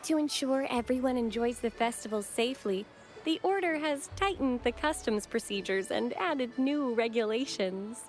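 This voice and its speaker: An actress voices a young female acolyte in a video game, with a high voice. She is courteous and gentle, choosing formal, measured words like a devout guide. Music plays clearly underneath. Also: close to an everyday voice. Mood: courteous, welcoming. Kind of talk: scripted video game voice acting.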